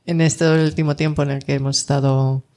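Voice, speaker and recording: A woman's voice: sits low, fairly even intonation, a little slow, a little mellow. A woman hosting a community radio show, chatting casually with guests, laughing often and restarting her phrases.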